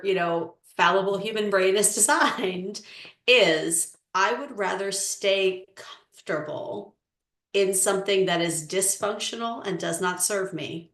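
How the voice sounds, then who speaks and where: A woman coach answering interview questions in a measured, explanatory way with frequent "um"s. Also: lowish voice, fairly bright tone. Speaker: relationship coach. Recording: echoey room.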